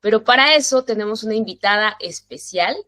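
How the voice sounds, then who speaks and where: A woman's voice: medium pitch, fairly quick, sharp tone, no room sound. A woman in her thirties hosts a parenting interview show, welcoming families and her guest. She speaks in a mid-range voice that sounds dry and close. Her manner is informal and welcoming. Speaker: host. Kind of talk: friendly interview hosting.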